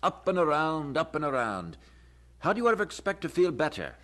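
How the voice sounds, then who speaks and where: An actor playing a gruff, scolding older man who is exasperated with youngsters, in a radio drama. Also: on the high side, big pitch swings, a little fast, fairly bright tone. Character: grumbling, scolding older man, dismissive of youth.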